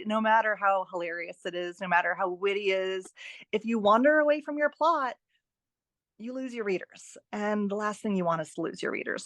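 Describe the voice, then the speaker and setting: A woman's voice: medium pitch, fairly bright tone, dry recording. A woman speaking as an expert guest, explaining advice conversationally with emphasis and rhetorical questions. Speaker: guest editing expert and author.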